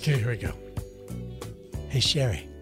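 A man's voice: big pitch swings, normal speed, music in the background. A man co-hosting a comedy podcast, reading a listener letter aloud and joking around in a teasing way. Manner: teasing, jokey, breaks into falsetto song.

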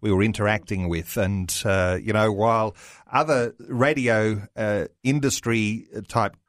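Male radio host, low voice, dry studio sound, measured delivery. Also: fairly even intonation, no room sound. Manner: measured, uses fillers, slows for key phrases. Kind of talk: radio talk show hosting.

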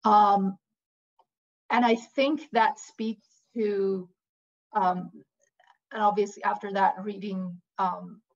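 Slow, gravelly-voiced woman guest. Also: mid-range voice, fairly even intonation. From Iran. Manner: hesitant, many fillers and restarts. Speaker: interview guest. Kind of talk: conversational interview. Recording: dull lo-fi audio.

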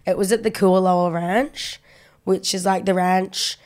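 A woman's voice: medium pitch, steady tone, a little slow, darkish tone. A woman co-hosting a podcast, chatty and a little sweary, sounding nasal from a cold. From Australia. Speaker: podcast co-host. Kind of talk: casual chat.

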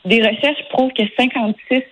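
A woman's voice: fairly high pitch, steady tone, brisk, a bit bright, slight background noise, low-quality recording. Earnest Québécois woman in her twenties. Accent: Québécois French. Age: 20s. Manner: earnest, hesitant with many fillers and restarts. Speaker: lawyer guest. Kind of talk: radio interview answers.

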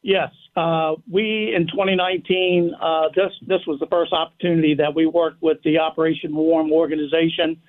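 Halting man on a tinny phone line. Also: flat delivery. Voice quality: deep voice. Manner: halting, many fillers. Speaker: firefighters' union president, radio guest.

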